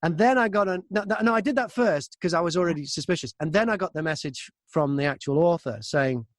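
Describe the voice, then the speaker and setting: High-voiced man telling a story casually. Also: fast talker, dry recording, clean studio sound. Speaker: interviewed audiobook narrator.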